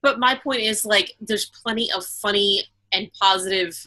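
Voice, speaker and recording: A woman's voice: mid-range voice, moderate pace, bright, forceful tone. A woman co-hosting a podcast in casual conversation. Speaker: podcast co-host. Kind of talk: casual chat.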